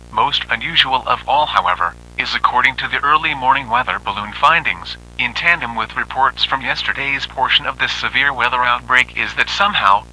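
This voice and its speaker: Very sharp-toned male weather bulletin announcer. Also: medium pitch, poor audio. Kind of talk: formal scripted weather bulletin reading.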